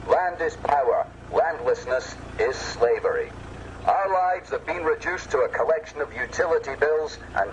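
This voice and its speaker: A man delivering a scripted election address in a declamatory, sloganeering way. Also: medium pitch. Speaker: political campaigner. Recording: dull lo-fi audio, faint vehicle noise.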